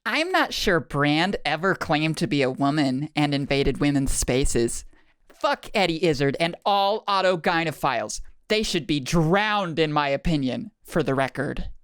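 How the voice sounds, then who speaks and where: A smug, vain woman as a voice actress plays her, reading out her online opinions with self-satisfaction. Her voice is very low for a woman. The sound is dry and close. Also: animated, unhurried, clear, resonant tone, dry recording. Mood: spiteful. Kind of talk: dramatic reading of social media posts.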